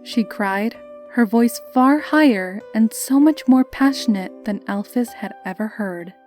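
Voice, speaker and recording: A woman's voice: fairly high pitch, measured pace, darkish tone, faint music behind. A woman reading scripted story narration.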